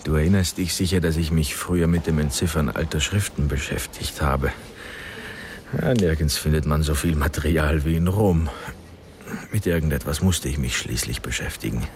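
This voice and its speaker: A man playing a weary, broken, self-mocking older father in a radio drama, speaking haltingly and with resignation. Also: extremely low pitch, a little mellow, slight background noise. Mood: calm, wry.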